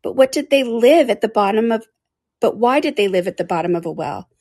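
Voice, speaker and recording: A curious young girl from a storybook, played by a woman reader. Her voice is lifted in pitch, with a polite manner that easily turns offended. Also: expressive, a little fast, a little mellow, slightly rough, dry recording, studio quality. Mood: questioning.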